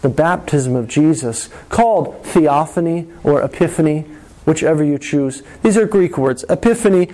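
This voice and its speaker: A man preaching a sermon to his congregation, slow and deliberate, with dramatic pauses and repetition. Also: a little high, mellow, rough recording. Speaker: pastor.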